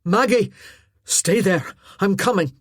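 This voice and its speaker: A woman voicing a protective young Highland husband who gives firm, urgent commands. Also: normal speed, darkish tone, slightly rough, no echo, studio quality.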